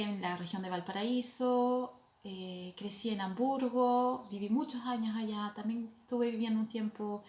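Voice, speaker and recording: A middle-aged Chilean woman hosting a knitting podcast, chatting casually, hesitating often and laughing softly at herself. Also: medium pitch, roomy sound. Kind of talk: casual chat.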